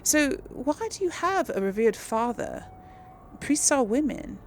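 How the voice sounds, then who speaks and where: A woman's voice: sits high, big pitch swings, unhurried, a little hiss or hum. A woman giving casual game commentary, musing aloud as she plays and role-plays dialogue. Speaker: gamer, series host.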